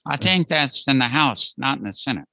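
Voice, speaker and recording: Bright, creaky-voiced man chatting. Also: medium pitch, lively intonation, moderate pace, no echo. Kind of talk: casual group discussion.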